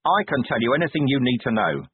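A man's voice: mid-range voice, big pitch swings, fast talker, breathy edge, no room sound, voice pushed up. A man doing a weary, sardonic watch commander. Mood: brusque. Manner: brusque, sardonic. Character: weary, dry-witted male watch commander. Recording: thin phone-like dry recording.

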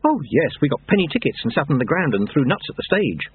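A man voicing a quick-witted young apprentice in an audiobook story, respectful, plain-spoken and matter-of-fact. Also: a little high, sing-song, slightly rough, narrow, tinny audio, voice pushed up, exaggerated voice.

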